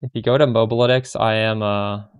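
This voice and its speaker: A man's voice: on the low side, steady tone, a little fast, clean tone, highs cut off. A Canadian man with a Canadian accent, streaming a game live and chatting casually with viewers, often trailing off mid-sentence. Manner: chatty, answers chat questions while playing, trails off mid-sentence.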